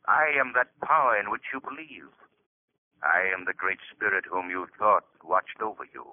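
An actor playing a solemn, commanding centuries-old master spirit in a radio drama. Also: male voice, on the low side, moderate pace, lightly acted. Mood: imperious. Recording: tinny old radio recording.

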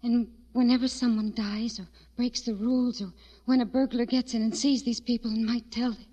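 A woman playing a timid, gentle, frightened young servant girl in a radio drama, speaking hesitantly and pleadingly. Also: fairly high pitch, fairly even intonation, moderate pace, lightly acted. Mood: hushed dread. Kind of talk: 1940s radio drama acting. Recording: dull old radio recording.

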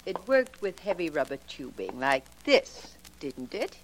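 A woman's voice: deep voice, very animated, unhurried, noisy background. An actress playing a cold, scornful wife in a 1940s radio crime drama, cutting and theatrical in her phrasing. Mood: cold defiance.

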